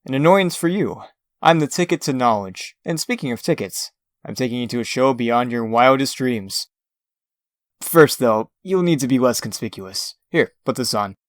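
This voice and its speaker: A man's voice: mid-range voice, no room sound, studio quality, natural voice. A man playing a theatrical magician and escape artist in a comic dub, lecturing in a grandiose, urbane and condescending way. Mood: smug, urbane.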